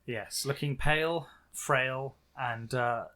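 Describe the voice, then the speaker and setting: A man's voice: medium pitch, takes it slowly, sharp tone. A man co-hosting a podcast, casually recapping a book with dry, sarcastic commentary. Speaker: podcast co-host.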